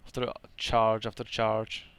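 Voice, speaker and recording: A man's voice: on the low side, completely flat delivery, a little slow, fairly bright tone, clean tone, no echo. A young man with a Polish accent casually commentating his own strategy game match, chatty and laughing often, with hesitant second-language phrasing.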